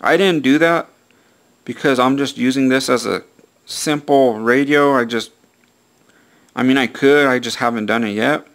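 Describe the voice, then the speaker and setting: A man with a creaky, gravelly voice at an ordinary male pitch talks through a casual hands-on review. He is plain-spoken and repeats himself, like an amateur showing off something he bought. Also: normal speed, pauses often, a bit bright. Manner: plain-spoken, repetitive, frequent "all right".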